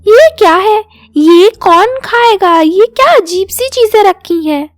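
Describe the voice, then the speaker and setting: A woman puts on a disdainful neighbourhood auntie in a storybook tale. Her voice is high and drawn out, full of mockery. Light music plays behind. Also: lively intonation, slow, full character voice. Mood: disgusted. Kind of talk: storybook cartoon dialogue.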